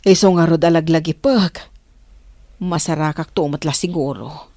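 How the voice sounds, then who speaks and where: A woman's voice: deep voice, normal speed, warm tone, no echo, clean studio sound. A woman playing a guarded, flustered middle-aged village mother in a radio drama. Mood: flustered, evasive.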